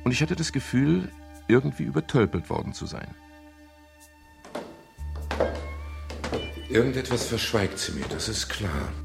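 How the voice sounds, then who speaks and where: An actor playing a dry, understated, middle-aged private detective in a radio crime drama. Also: male voice, mid-range voice, lively intonation, a little fast, creaky, music in the background. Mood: suspicious. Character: wry, easygoing male private detective, gentlemanly. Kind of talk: 1970s German radio crime drama, noir first-person narration and dialogue.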